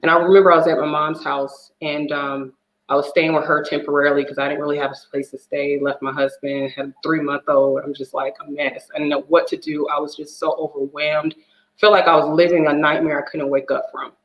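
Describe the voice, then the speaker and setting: A woman's voice: sits low, flat delivery, fairly quick, echoey room, poor audio. A woman telling her personal story as a divorce coach guest, earnest and emotional. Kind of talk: personal testimony in a talk show.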